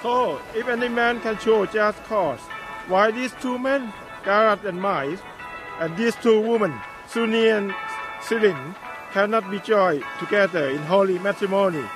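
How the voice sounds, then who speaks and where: High-voiced, formal wedding officiant. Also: male voice, a little slow, over music, poor audio. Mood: solemn. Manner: ceremonial, formal. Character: solemn wedding officiant reciting vows formula. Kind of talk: comedy drama acting.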